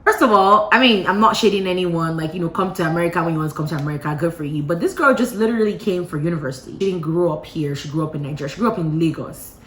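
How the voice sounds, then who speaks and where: A woman vlogger casually telling a gossipy story to her viewers, animated and full of playful asides. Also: fairly low pitch, rapid-fire, few pauses, a little hiss or hum. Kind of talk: casual gossip chat to camera. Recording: roomy echo.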